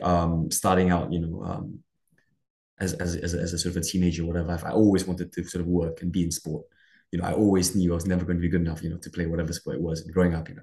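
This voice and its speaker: A man's voice: sits low, creaky, darkish tone. A man from Malaysian Borneo giving conversational interview answers, rambling and leaning on "you know" and "sort of". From Sarawak, Malaysian Borneo. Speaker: guest. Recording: roomy echo.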